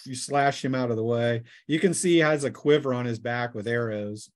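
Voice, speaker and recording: A man's voice: medium pitch, fairly quick, no room sound. Man running a tabletop game, dry close sound. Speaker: game master.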